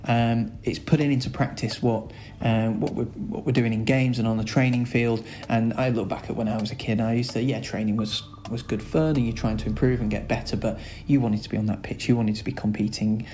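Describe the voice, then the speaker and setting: A man with a Lancashire accent, a school's director of sport, answering podcast interview questions in a chatty, rambling way with many filler pauses. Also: medium pitch, steady tone, warm tone, a little hiss or hum. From Lancashire, England.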